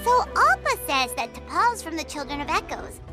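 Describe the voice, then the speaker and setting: Squeaky, very high childlike fairy girl. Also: sing-song, moderate pace, music in the background. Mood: curious. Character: small excitable floating fairy girl companion. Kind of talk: video game voice acting.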